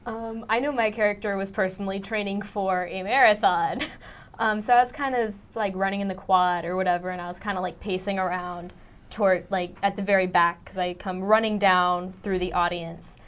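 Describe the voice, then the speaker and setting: Young woman, average pitch, casual student interviewee, loose filler-filled phrasing. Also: fairly even intonation, normal speed, a bit bright. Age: teens to young adult. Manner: informal, filler-heavy youthful talk. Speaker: student actor being interviewed. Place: TV studio.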